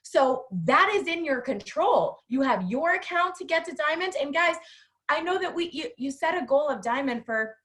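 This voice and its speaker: A woman co-hosting a team video call, talking in a frank, animated, emphatic way. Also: high voice, moderate pace. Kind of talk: casual motivational team talk.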